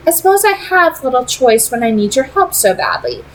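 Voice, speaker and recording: Lady doing a haughty vampire queen for a storybook, high voice, formal and secretive, persuasive, bit of noise behind. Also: wildly swooping pitch, poor audio. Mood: formal, guarded. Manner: formal, deliberate, dramatic phrasing. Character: proud, secretive female vampire clan leader, coolly persuasive.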